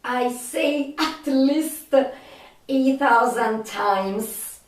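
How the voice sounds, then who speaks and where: A woman with a non-native English accent talking casually to viewers as a film fan, in a halting way with drawn-out pauses. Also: high-pitched. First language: not English. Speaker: video host, film fan. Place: home studio. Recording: echoey room, distant microphone.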